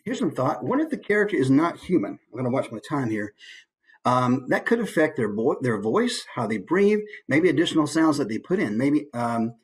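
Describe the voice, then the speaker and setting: A man's voice: medium pitch, big pitch swings, normal speed. A man who works as a voice actor, giving a casual, conversational instructional presentation with easygoing asides.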